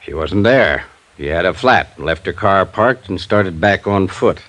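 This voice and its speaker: A man's voice: low-pitched, animated, unhurried, a bit bright. An actor playing an older American small-town newspaperman, wry and cagey, talking in a folksy rustic way in an old-time radio drama. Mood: grim, recounting.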